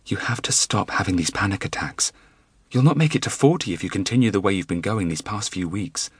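A soft, steady young wife whose voice rides well above the reader's own, gentle and caring, as a male storyteller puts her on. She speaks in a calm hush, with concern under the calm. The recording is close and dry. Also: mid-range voice, normal speed, husky, no room sound, voice pushed up. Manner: soft and steady, softly whispered.

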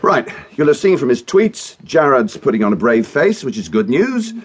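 A man playing a harried theatre director in a radio drama, addressing his cast in a brisk, matter-of-fact way. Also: mid-range voice, big pitch swings, lightly acted.

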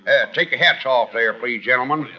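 An actor playing a folksy, kindly mining-camp caretaker in an old radio drama, with a rustic drawl. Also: male voice, mid-range voice, brisk, lightly acted. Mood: bossy, orderly. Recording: dull vintage radio recording.

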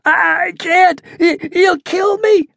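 A man's voice: very high voice, normal speed, darkish tone, dry recording, pitched above the performer's own voice. A man voicing a terrified, drunk male ventriloquist who stammers and whimpers near tears.

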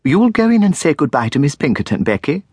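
A fussy, tender-hearted old schoolmistress fusses in a piping, fluttering voice that leaps high with every fret, as a male storyteller puts her on. Her warbling phrases rise and fall in agitation, and the reading is close and dry. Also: very animated, fast, a little mellow, breathy edge, no echo. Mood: coaxing. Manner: fluttering, flustered, high-pitched. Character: kindly fussy older spinster schoolmistress.

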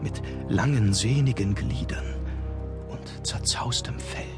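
A man narrating a horror audiobook in the first person, measured and suspenseful. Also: mid-range voice. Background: prominent music.

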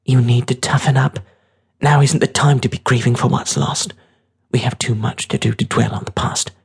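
A narrator plays a streetwise teenage girl in an audiobook story, pitched up from the narration and very husky. She is scornful and bossy, mocking whoever she talks to with brash toughness. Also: fairly even intonation, normal speed. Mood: stern, impatient.

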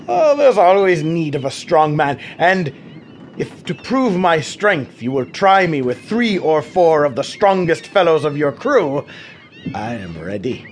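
Insistent rough sailor, lively pitch, faint hiss. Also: male voice, a little slow. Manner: insistent, good-humoured. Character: bold rough male sailor, persistent and cheeky. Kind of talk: radio drama acting.

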